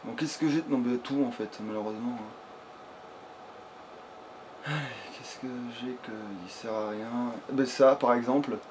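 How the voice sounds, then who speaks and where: A man's voice: medium pitch, steady tone, a little slow, long pauses. A man giving casual, improvised video game commentary in a crude, swear-heavy way. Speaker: let's player. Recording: clearly noisy background.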